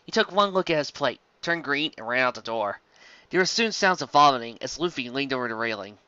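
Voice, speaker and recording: A woman hosting and narrating a fan story, in an animated style. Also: rumbling low, a little fast, smooth, dry recording, lo-fi sound. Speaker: host and story reader.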